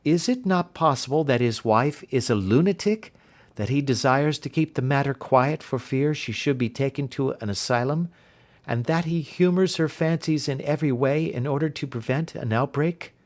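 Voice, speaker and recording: A man voicing a brisk, earnest young woman governess in an audiobook reading, in his own everyday voice. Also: medium pitch, close to an everyday voice. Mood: puzzled.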